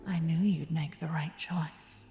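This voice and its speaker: A woman's voice: sits low, fairly even intonation, mellow. A woman voicing a seductive, possessive demon queen in an intimate fantasy ASMR roleplay, often whispering, with teasing, smug menace. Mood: seductive, coaxing. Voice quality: breathy, husky, often whispering. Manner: slow, teasing, doting menace. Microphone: close, dry microphone.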